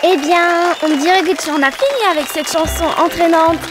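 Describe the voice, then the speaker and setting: Very high-pitched cartoon baby girl. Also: fairly even intonation, normal speed, over a noisy crowd. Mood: cheerful. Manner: exclamatory, childlike. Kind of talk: French cartoon dubbing. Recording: children's music behind the voice.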